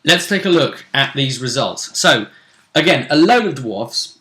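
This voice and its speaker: A man's voice: mid-range voice, big pitch swings, a little slow, fairly bright tone. A man hosting a casual tabletop role-play recap as game master, talking conversationally. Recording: roomy, echoey sound.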